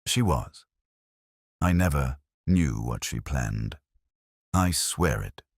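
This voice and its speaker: Guy doing a remorseful victorian gent, very low n hoarse, halting and subdued, dry sound. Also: animated, no room sound, heavily acted. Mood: anxious. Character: shaken, remorseful male servant of the law, pale and stiff.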